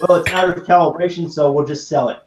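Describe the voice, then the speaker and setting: A man's voice: on the high side, steady tone, fast. Hesitant man in an echoey room. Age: 40s. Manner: rambling, hesitant with many fillers. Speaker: host. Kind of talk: casual group chat. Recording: echoey room with faint noise.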